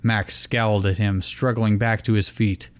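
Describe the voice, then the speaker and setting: A man's voice: lowish voice, little pitch movement, moderate pace, smooth. A man reading fan fiction aloud as host, in story narration mixed with casual asides.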